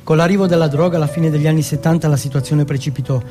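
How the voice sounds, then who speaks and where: Guy doing a stage monologue, fairly high voice, deliberate poetic delivery, music under him. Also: fairly even intonation. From Turin, Italy. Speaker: monologue performer. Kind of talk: dramatic autobiographical stage narration.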